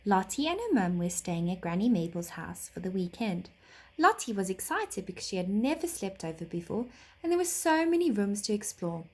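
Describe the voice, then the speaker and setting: A woman narrating a children's story expressively, like a teacher reading aloud, with dramatic, deliberate phrasing. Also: medium pitch, very animated.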